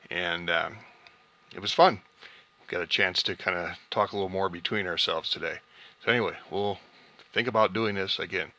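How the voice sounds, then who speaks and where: Low, bright-voiced man hosting radio contacts. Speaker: amateur radio operator hosting an on-air contact party. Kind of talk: casual radio net chat, signing contacts off.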